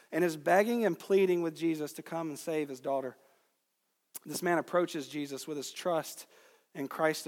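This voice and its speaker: A man from Georgia in the United States preaching an expository sermon to a congregation, teaching with deliberate emphasis on key words. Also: on the high side. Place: large reverberant room, speaking to a congregation. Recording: long reverb of a large hall.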